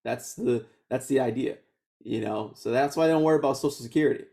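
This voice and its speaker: A man in his thirties from Houston, whose first language was Vietnamese, telling his life story in a relaxed oral history interview, often laughing and restarting his sentences. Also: medium pitch, fast talker, creaky. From Houston, Texas. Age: 30s.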